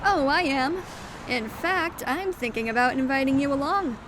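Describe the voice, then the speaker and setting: A woman's voice: high-pitched, normal speed, noisy background, close to an everyday voice. A woman voicing a spunky, friendly and teasing young goat girl in an audio drama, perky and chatty. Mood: playful.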